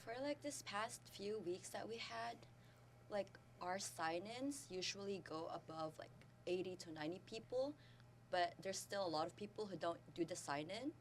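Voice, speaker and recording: A woman's voice: medium pitch, expressive, normal speed, rough recording. A woman, chatting casually as a student interview guest. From Philippines. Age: early 20s. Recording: background noise with hum.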